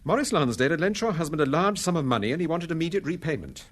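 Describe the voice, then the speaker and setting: An actor playing a calm, authoritative amateur detective in a radio drama, assured and precise as he explains. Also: fairly high pitch, fast. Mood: measured, explaining.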